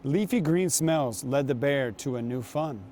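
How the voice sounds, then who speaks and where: A man reading aloud and talking to children as a guest reader, with an unhurried, storytelling manner. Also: mid-range voice, darkish tone, a little hiss or hum. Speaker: guest reader, basketball coach.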